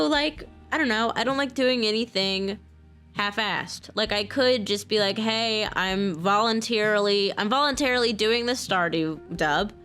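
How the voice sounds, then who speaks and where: An American woman speaks off the cuff in a medium-pitched voice. Her delivery is informal and candid, often halting as she works through a thought. Faint music plays behind her. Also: fairly bright tone. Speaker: video creator and mod developer. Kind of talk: casual, unscripted talk to camera.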